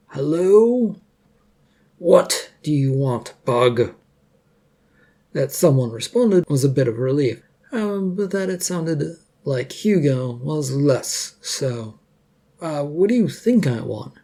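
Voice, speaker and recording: A man's voice: mid-range voice, gravelly, warm tone, slightly rough. A man reading scripted fiction as a story narrator.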